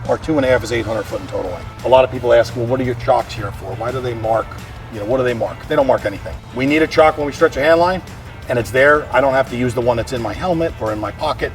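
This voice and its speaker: A man's voice: medium pitch, fast talker, music in the background. Plain-spoken American man, slightly distant mic. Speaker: fire chief. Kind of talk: explanatory equipment walk-through. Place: outdoors around a fire truck.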